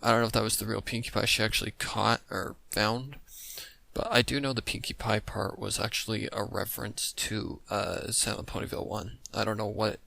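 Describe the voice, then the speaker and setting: A man's voice: on the low side, level tone, a little fast, creaky, bright, forceful tone. A man giving casual, rambling reaction commentary as the host of a video. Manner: informal, rambling.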